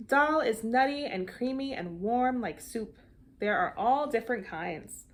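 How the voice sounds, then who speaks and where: A woman voicing an eager six-year-old boy in a storybook, with an excited, emphatic, childlike delivery. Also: a little high, a little slow. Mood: excited, proud.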